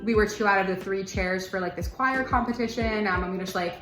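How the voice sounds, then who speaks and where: A woman's voice: medium pitch, brisk, music in the background. Young woman chatting in an echoey room. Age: young adult. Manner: chatty, many fillers. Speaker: student leader. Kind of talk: casual chat.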